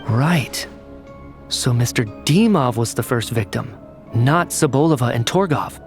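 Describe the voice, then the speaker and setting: A man's voice: medium pitch, animated, a little slow. A serious young male investigator speaks in a mid-range voice, precise and probing. The delivery is dramatic video game acting over faint music.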